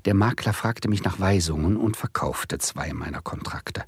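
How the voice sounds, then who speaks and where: A man's voice: deep voice, normal speed, breathy edge, dry recording. An actor playing a speculator who narrates his own misadventure with dry irony in a radio play. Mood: dry, ironic. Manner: dry, ironic storytelling. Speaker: first-person narrator.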